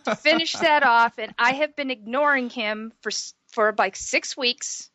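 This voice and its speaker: A woman co-hosting a casual gaming podcast, slightly hoarse from a sore throat, chatty and self-deprecating, explaining at length. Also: on the high side, measured pace, sharp tone, no echo. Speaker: podcast co-host.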